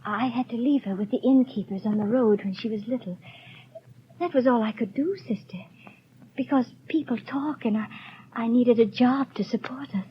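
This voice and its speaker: Actress as a frail dying young mother, whispery and raised in pitch, on a muffled vintage radio play. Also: normal speed, lightly acted. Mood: whispered longing. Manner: breathless, often whispering. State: weak from illness. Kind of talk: 1930s radio drama acting. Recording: dull lo-fi 1930s radio broadcast, faint hiss.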